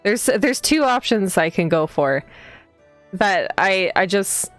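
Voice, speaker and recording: A woman's voice: mid-range voice, lively intonation, slow. A woman streaming a visual novel, reading aloud and reacting casually with chatty asides. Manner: chatty asides, stumbles over unfamiliar words. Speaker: VTuber game streamer, host. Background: game music.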